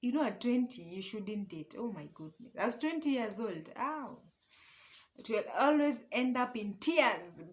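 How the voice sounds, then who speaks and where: A young adult Kenyan woman chatting casually in a personal storytime, dramatic and expressive, switching languages mid-sentence and tossing out rhetorical questions. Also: on the high side, measured pace. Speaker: storytelling vlogger.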